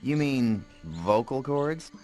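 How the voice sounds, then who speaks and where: An actor playing a cocky, wisecracking adventurer in a video game, with a casual, wry manner. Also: male voice, medium pitch, lively intonation, takes it slowly, mellow. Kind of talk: animated video game voice acting. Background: music.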